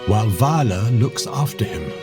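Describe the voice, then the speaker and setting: Animated male narrator over music. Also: lowish voice, normal speed. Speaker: narrator of an audio play. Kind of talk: scripted storybook narration.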